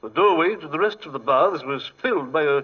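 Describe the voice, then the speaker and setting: An actor playing an excitable, fussy English gentleman archaeologist in 1940s radio style, often hesitant, with an English accent. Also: fairly high pitch, fairly quick. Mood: apologetic. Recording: muffled 1940s radio broadcast.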